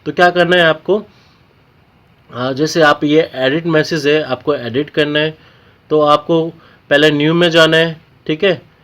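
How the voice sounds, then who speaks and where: A man's voice: fairly high pitch, steady tone, unhurried, a bit bright. A man with an Indian accent giving an informal technical tutorial, explaining step by step and often checking in with short tags. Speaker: technical instructor.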